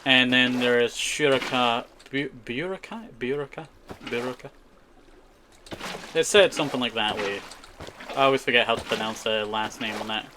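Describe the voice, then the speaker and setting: Man streaming casually over clear background noise. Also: medium pitch, normal speed. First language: Scottish Gaelic. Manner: chatty, rambling, repeats short phrases. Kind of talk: casual live gaming commentary with chat.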